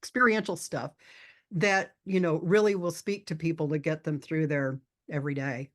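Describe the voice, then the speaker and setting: A woman's voice: low-pitched, fairly even intonation, moderate pace. An older woman who worked as a nurse for decades, talking earnestly on a podcast with many restarts. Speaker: guest, retired nurse. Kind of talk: podcast interview.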